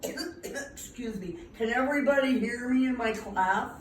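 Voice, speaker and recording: Slow, high-voiced woman reading a storybook aloud. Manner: theatrical, emphatic, pausing to engage young listeners. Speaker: preschool owner reading at a children's storytime. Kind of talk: read-aloud picture book storytelling with asides to children. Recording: roomy, distant mic, faint noise.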